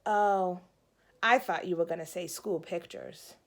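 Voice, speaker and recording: A woman's voice: fairly low pitch, measured pace, creaky, voice pushed down. A woman voicing a curious little brother in a children's storybook, eager and full of questions. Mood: puzzled. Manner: eager, questioning, wide-eyed. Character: curious, pestering little brother, a young boy. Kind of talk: children's storybook read-aloud.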